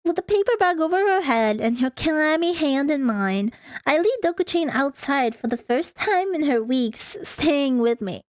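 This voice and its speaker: A woman's voice: sits high, lively intonation, normal speed, no echo. A woman streaming a visual novel, reading the text aloud and breaking into playful, casual asides. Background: faint music.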